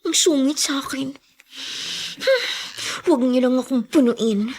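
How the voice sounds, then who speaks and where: A watchful young housemaid in a Filipino radio serial. Her high voice is meek and hesitant, with defiance underneath. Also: expressive, unhurried, darkish tone, heavily acted. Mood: resentful. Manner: polite, hesitant. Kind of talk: Filipino radio drama acting.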